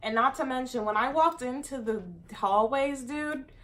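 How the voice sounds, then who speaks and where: A young woman vlogger telling a casual storytime with her roommate, chatty and animated, dropping "dude" and "exactly" often. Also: sits high, normal speed. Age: young adult.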